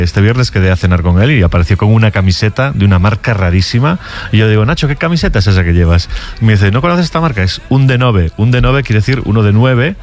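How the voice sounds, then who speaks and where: A man's voice: on the low side, a little mellow. A man with an accent from Spain, chatting casually and humorously and telling anecdotes as a panellist on a sports talk show. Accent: Spanish from Spain.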